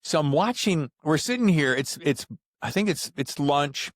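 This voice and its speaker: A man's voice: on the high side, lively intonation, normal speed, no room sound, studio quality. A man hosting a casual interview, telling stories in a folksy, informal way. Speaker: podcast host. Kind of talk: casual conversational interviewing, storytelling.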